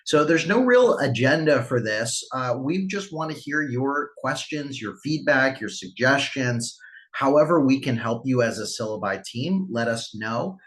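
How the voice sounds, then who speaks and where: A man's voice: medium pitch, lively intonation, unhurried, fairly bright tone. A man, a company CEO, hosting a casual online town hall meeting, friendly and rambling with many fillers.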